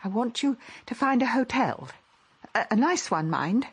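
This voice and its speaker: A lively, slightly husky voice from a worried wife. She swings between firm instructions and stammering concern. It is a radio drama performance. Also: fairly high pitch, normal speed, heavily acted. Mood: coaxing. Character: anxious, practical wife on the phone.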